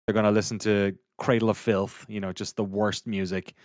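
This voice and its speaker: A man's voice: on the low side, little pitch movement, a little fast, no echo, studio quality. A man chatting casually as a podcast guest, speaking bluntly and swearing freely. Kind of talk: casual panel chat.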